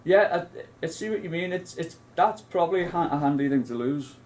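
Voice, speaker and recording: A man's voice: a little high, lively intonation, a little fast, a little mellow, slight background noise. A man, co-hosting a casual film chat, rambling with many restarts and asides. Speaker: co-host.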